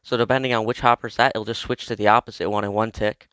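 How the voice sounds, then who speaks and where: A man's voice: lowish voice, level tone, quick pace, a bit bright, clear, resonant tone, no room sound. A young man with a cold, casually walking through a step-by-step tutorial in a chatty, informal way. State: has a cold. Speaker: video creator explaining a game build.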